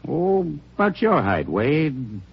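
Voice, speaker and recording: An actor playing a chatty, eager-to-please hotel manager who rambles in a folksy way, on a muffled vintage radio broadcast. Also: male voice, medium pitch, huge pitch swings, slow pace, slightly rough, exaggerated voice. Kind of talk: 1950s radio drama acting.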